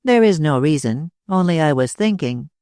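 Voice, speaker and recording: A woman voicing a calm, curt young Englishman in a read-aloud story. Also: sits low, normal speed, smooth, dry recording, clean studio sound. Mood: cool. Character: cool-headed young Englishman.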